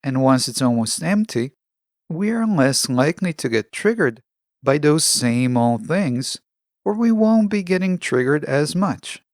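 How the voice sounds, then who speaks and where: A man's voice: fairly high pitch, dry recording. Man hosting a podcast, dry close sound. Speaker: podcast host. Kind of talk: scripted self-help monologue.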